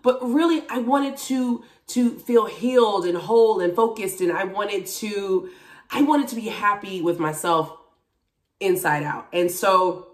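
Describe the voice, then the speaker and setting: A woman of about forty chatting casually to camera in a candid, expressive, emphatic way, recorded at home from a few feet away. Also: mid-range voice. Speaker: vlogger, TV and radio personality. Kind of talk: casual chat to camera about a personal journey. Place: small indoor room at home. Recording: roomy echo, distant microphone.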